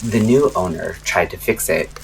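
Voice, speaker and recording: A man hosting a late-night radio horror show, narrating a scripted story in a measured, ominous way with dramatic pauses. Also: low voice, creaky, a little hiss or hum, rough recording. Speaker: radio-style host and storyteller.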